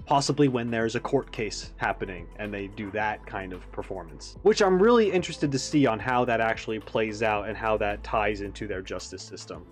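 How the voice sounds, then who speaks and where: A man hosting a reaction video, speaking casually in rambling, enthusiastic analysis. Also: fairly low pitch.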